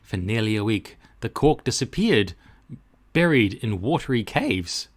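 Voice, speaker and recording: Very expressive man reading a storybook. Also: lowish voice, a little slow. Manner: rhythmic rhyming delivery. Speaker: storybook reader. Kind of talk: expressive scripted narration.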